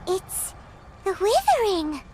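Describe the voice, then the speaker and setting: A tiny, childlike fairy girl from a video game, chirps in an extremely high, squeaky voice. She is cheerful and exclamatory, swooping widely in pitch while taking her time over the words. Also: huge pitch swings, takes it slowly, a little mellow, a little hiss or hum, exaggerated voice. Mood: puzzled, uneasy. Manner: talks of herself in third person, exclamatory. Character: tiny childlike female fairy companion, cheerful, easily confused. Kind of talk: video game character acting.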